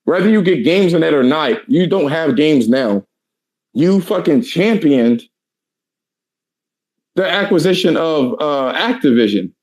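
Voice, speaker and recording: A man in his thirties talks in a heated, profane rant, his voice raspy and worn from lack of sleep. He pitches a bit higher than most men and keeps hammering his points with emphatic repetition. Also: animated, unhurried, pauses often, a little mellow, rough recording. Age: 30s. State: tired, hoarse voice. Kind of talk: heated casual chat.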